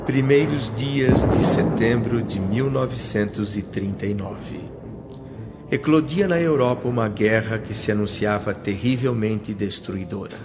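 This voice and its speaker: A Brazilian man playing a reflective spirit who narrates his memories in a radio drama, in a measured, solemn, literary way. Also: on the low side, noisy background. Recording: dull lo-fi audio with music.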